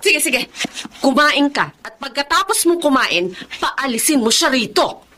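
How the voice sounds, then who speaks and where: A woman's voice: high voice, sing-song, measured pace, pushed and bright, a little hiss or hum, exaggerated voice. An actress playing a worried, practical middle-aged mother who scolds her husband in a radio drama. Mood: angry. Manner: anxious, scolding.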